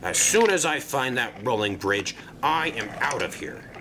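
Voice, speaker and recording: A man's voice: mid-range voice, big pitch swings, a little slow, noisy background. A man voicing a grumpy, surly male steam engine who talks in a curt, grumbling way.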